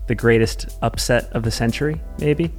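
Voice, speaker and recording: A man's voice: medium pitch, normal speed, faint music behind. A man hosting a podcast interview in a casual, informal, conversational way. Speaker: podcast host.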